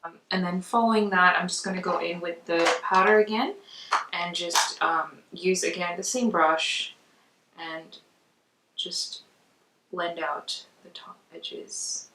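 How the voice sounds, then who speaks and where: A woman's voice: on the low side, steady tone, takes it slowly, pushed and bright, slight background noise. A woman giving a casual makeup tutorial in a chatty, conversational way. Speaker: makeup vlogger. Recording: roomy echo, distant mic.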